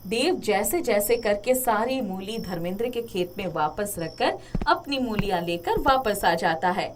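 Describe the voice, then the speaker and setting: A woman reads a moral tale as its narrator in a scripted storytelling style. The recording has clear noise, with birds chirping behind her. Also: medium pitch, lively intonation, a little slow.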